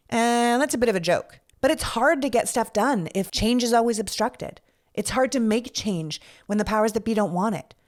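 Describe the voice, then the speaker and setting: Candid Canadian-born woman podcaster. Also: fairly high pitch. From Canada, Toronto. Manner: earnest, candid, with casual swearing and rhetorical questions. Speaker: podcast host. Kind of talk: scripted political monologue.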